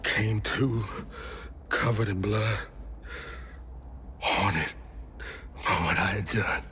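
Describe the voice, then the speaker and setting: A man playing a guilt-ridden, gentle but wounded character in a video game, with a Southern US accent and halting, confessional delivery. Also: on the low side, steady tone, slight background noise, full character voice. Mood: pained, ashamed. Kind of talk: naturalistic game drama acting. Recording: music behind the dialogue.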